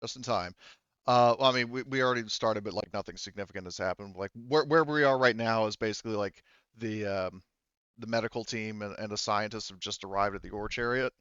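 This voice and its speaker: Smooth-voiced man, halting tabletop game master, muffled sound. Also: on the low side, fairly bright tone, dry recording. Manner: halting, wordy, explanatory. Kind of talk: improvised tabletop game narration.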